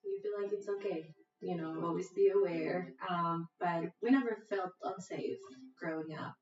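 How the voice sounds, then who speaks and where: A woman's voice: lowish voice, moderate pace, darkish tone. A young woman from Costa Rica speaking casually in a documentary interview, with light laughs. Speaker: interviewee, cousin. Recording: roomy, distant, dull audio with music.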